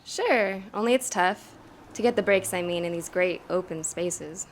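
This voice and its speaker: A woman's voice: lowish voice, normal speed, natural voice. An actress playing a brash, sassy young female hitchhiker in an old-time radio drama, with a plain-spoken, wisecracking delivery. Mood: breezy, grateful. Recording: clearly noisy radio play recording.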